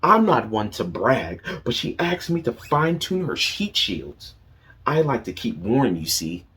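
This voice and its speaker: A man voicing a busy male barnyard bird in a picture book, hurried and brushing others off. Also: mid-range voice, big pitch swings, fairly bright tone, slightly rough, full character voice. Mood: bragging.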